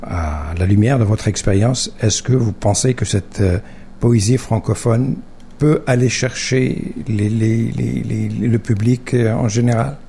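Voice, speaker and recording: A man's voice: medium pitch, measured pace, vocal fry, mellow, a little hiss or hum. A man hosting a radio interview in a studio, talking with many restarts and fillers. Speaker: radio host.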